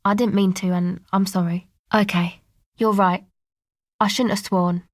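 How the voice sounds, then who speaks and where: A laid-back young woman from a video game, played by an actress, with a mid-pitched voice. She talks in casual slang with a sardonic, deadpan delivery. The sound is very dry, with no room around it. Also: a little slow, no echo, lightly acted. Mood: apologetic. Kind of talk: video game character dialogue.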